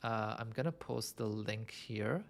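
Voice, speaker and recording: Man, young adult, from Lebanon, livestream host, chatty, self-amused, fillers, gravelly voice. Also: lowish voice, a little slow. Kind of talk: casual livestream chat answering viewer questions.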